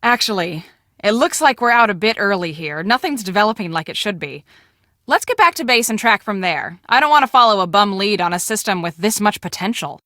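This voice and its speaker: A woman playing an earnest, take-charge storm-chasing project manager in a video game, speaking in a brisk, businesslike way. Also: medium pitch, very animated, bright, forceful tone, no room sound, clean studio sound. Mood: focused.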